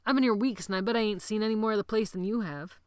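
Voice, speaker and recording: A woman's voice: mid-range voice, fast talker, a little mellow, clear, resonant tone, no room sound, studio quality, pitched above the performer's own voice, natural voice. A woman voicing a tired, sarcastic teenage boy complaining about chores in an audiobook.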